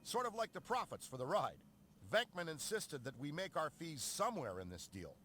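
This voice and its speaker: An actor playing a wry, money-minded ghost hunter in a video game, with sly, deadpan salesman patter. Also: a little high, normal speed, a little hiss or hum. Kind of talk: scripted game character dialogue.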